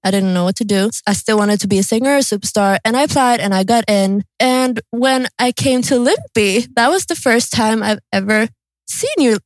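A woman's voice: on the high side, normal speed, no echo. A 22-year-old woman with an Albanian-Swedish accent, chatting informally and a bit giggly as a podcast co-host. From born in Kosovo, raised in Sweden. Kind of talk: casual podcast chat.

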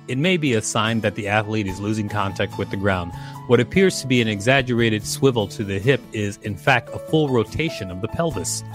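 A man's voice: fairly low pitch, fairly bright tone. A man hosting and reading an encyclopedia article aloud in a scripted narration style, with brief casual asides. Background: guitar music.

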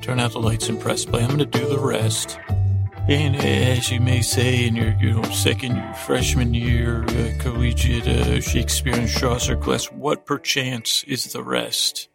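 A man hosting a sleep podcast, giving a soothing, meandering bedtime monologue in a halting way, trailing off and restarting as he digresses. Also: mid-range voice, level tone, vocal fry, over loud music. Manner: soothing, lulling.

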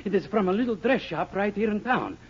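An actor putting on a flamboyant, grandiose Russian dress designer with a mock Russian accent, courtly and theatrical, in 1940s radio comedy style. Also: male voice, high-pitched, expressive, fairly quick, creaky, slightly rough, heavily acted. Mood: grandiose, flattering. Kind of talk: 1940s radio sitcom acting. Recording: muffled, noisy 1940s radio recording.

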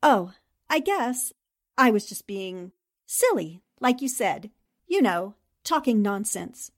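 A woman playing a shrewd, composed newspaper columnist and amateur sleuth in a radio mystery drama, speaking with deliberate, storytelling delivery. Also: mid-range voice, sing-song. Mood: faint, evasive.

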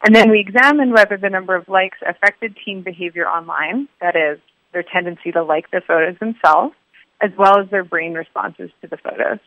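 A woman researcher explaining a study in an interview, choosing her words carefully in a measured way. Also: medium pitch, fairly bright tone, no echo, lo-fi sound.

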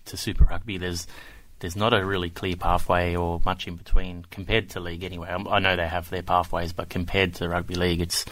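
A man's voice: sits low, flat delivery, brisk, hardly any pauses, fairly bright tone, rough recording. A man hosting a podcast, asking questions in an easygoing way with lots of fillers. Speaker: podcast host. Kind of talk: casual podcast interview.

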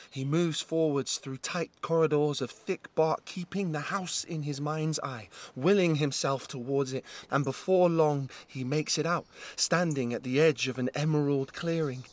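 A man reading an audio drama aloud as its narrator, in short, measured, dramatic phrases. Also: fairly high pitch, a little hiss or hum.

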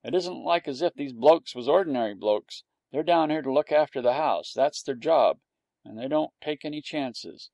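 A man reads a shy, gruff working man in a storybook, plain and matter-of-fact. The voice is gravelly and sits a touch high. It is recorded close and dry. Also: moderate pace, darkish tone, dry recording.

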